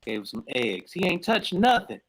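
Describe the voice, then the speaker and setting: A man with a slightly high voice chats easily as he tells a story, circling back over his words before he moves on. He sounds relaxed and conversational. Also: normal speed, husky. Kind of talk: casual chat.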